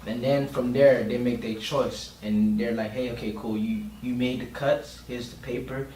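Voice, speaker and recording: Male interview guest, informal and slangy, middle pitch, faint noise on a roomy recording. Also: steady tone, moderate pace, darkish tone, distant mic. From Miami, Florida, USA. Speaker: guest singer and producer. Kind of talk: casual podcast interview.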